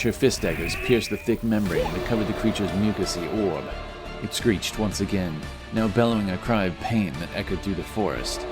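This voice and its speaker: Low-pitched man narrating fantasy adventure.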